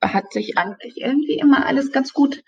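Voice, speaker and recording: Husky woman over dull audio. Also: high voice, a little fast. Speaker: voice actress guest. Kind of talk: casual interview talk.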